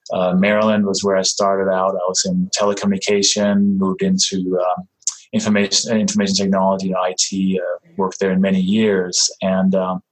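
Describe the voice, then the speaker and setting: Low-voiced Danish-accented man, halting. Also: poor audio. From Denmark. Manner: friendly, halting, many fillers and restarts. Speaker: guest, real estate investor. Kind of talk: casual interview talk.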